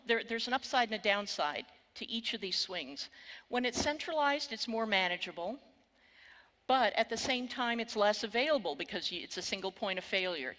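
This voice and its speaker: A woman's voice: a little high, bright, forceful tone. An older woman with a Texan accent giving a conference keynote, wry and candid, with casual swearing. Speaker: keynote speaker. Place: conference hall on stage. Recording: long reverb of a large hall.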